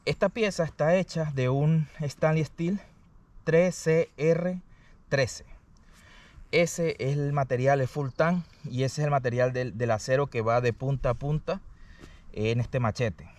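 A man giving a casual, explanatory hands-on product review, often pausing to check the listener follows. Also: medium pitch, a little mellow. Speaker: product reviewer, channel host. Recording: distant mic, faint background noise.

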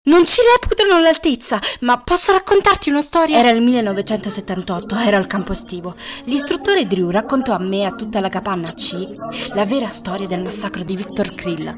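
A woman's voice: medium pitch, a little fast, lightly acted. A woman voicing a nervy, indignant young female student in an amateur drama fan dub, talking in a rambling, emphatic, dramatic way. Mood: impassioned. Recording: music behind the voice.

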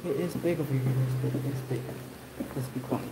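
A man's voice: medium pitch, steady tone, fairly quick, very noisy. A man vlogging as he walks outdoors along a resort path, rambling and swearing and restarting his sentences, recorded on a handheld phone. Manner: rambling, profane, restarts often. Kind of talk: casual walking vlog. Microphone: phone camera held while walking.

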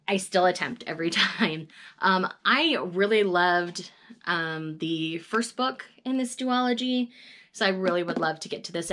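A woman's voice: mid-range voice, fairly bright tone. Chatty woman. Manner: chatty and upbeat, laughs between book titles. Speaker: book vlogger. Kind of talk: casual reading-plan chat. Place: small room in front of full bookshelves. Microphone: webcam or camera at desk distance.

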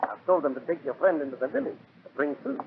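An actor playing a suave, sinister island ruler in a 1940s radio drama, smugly polite and urbane. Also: male voice, a little high, big pitch swings, quick pace. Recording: tinny, faintly noisy 1940s radio recording.

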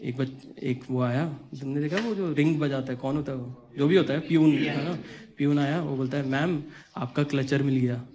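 A young man telling a comic story on stage, acting out dialogue in an animated, slangy way. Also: fairly high pitch, moderate pace, a crowd in the background, low-quality recording. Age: young adult. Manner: animated, acts out dialogue in conversational Hindi slang. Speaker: stand-up comedian. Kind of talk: stand-up comedy storytelling. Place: small comedy club stage with audience. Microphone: handheld microphone.

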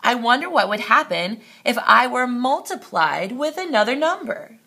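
A woman's voice: on the high side, very animated, moderate pace, sharp tone, slightly rough, voice pushed up, full character voice. A woman voicing a shy, earnest young male number in a children's storybook. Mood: wondering.

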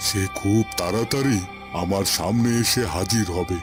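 A man playing a remorseful but hopeful holy hermit in a cartoon fairy tale, speaking solemnly in short, deliberate phrases. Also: lowish voice, over music, close to an everyday voice. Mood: pensive.

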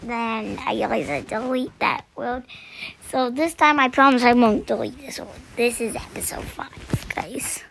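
A young woman chatting through a survival game, her voice scratchy this morning, clearing her throat and often dropping to a whisper. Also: a little high, a little slow, fairly bright tone. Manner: chatty, self-deprecating, often drops to a whisper. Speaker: gaming channel host. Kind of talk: casual gameplay commentary.

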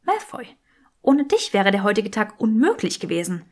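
A woman's voice: mid-range voice, big pitch swings, moderate pace. A woman voicing an earnest, teasing young witch in a fantasy audiobook. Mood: earnest, admiring.

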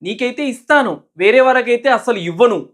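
Man acting out sly storybook fox, high-pitched, firm, bargaining, children's fable. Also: lively intonation, brisk, fairly bright tone.

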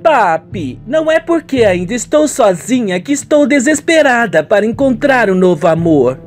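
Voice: mid-range voice, sing-song, normal speed, soft background music, pitched above the performer's own voice, lightly acted. A performer voicing an arrogant, affected young rich daughter in a radio soap, haughty and emphatic. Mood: indignant.

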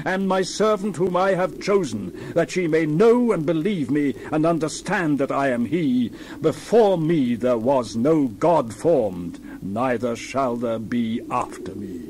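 A man giving a dramatized scripture reading in a solemn, declamatory, measured way with emphatic swells. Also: a little high, darkish tone, slight background noise. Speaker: Bible reader.

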